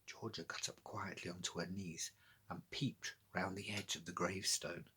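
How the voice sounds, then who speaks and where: A man's voice: low voice, little pitch movement, moderate pace, bright, forceful tone, husky. A British man narrating a scripted children's storybook as its author, reading aloud.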